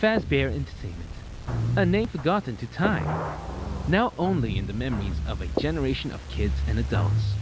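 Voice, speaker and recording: A man playing a solemn documentary narrator, reading a scripted horror history in a measured, dramatic manner. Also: medium pitch, heavy background noise, natural voice. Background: prominent music.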